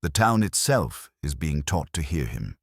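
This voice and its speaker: A man voicing a calm, courteous police inspector in a story, speaking in a measured, gentle and formal way. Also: rumbling low, no echo, studio quality, exaggerated voice. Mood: grave, deliberate.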